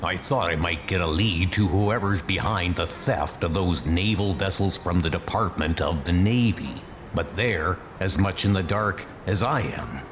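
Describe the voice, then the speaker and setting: A man playing a brooding, wry superhero detective in a comic dub, speaking in a deliberate, dramatic way. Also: sits low, noisy background. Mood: grim, determined. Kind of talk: comic dub narration and monologue.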